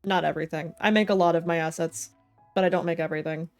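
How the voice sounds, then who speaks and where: A woman's voice: on the low side, steady tone, fast, darkish tone. A woman livestreamer chatting casually while gaming, thinking aloud in a playful, rambling way with silly slang. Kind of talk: casual gaming stream chat. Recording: game music behind the voice. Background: game music.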